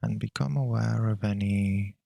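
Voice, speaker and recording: A man leading a guided meditation in a calm, measured, unhurried way with long pauses between phrases. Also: on the low side, creaky, dry recording.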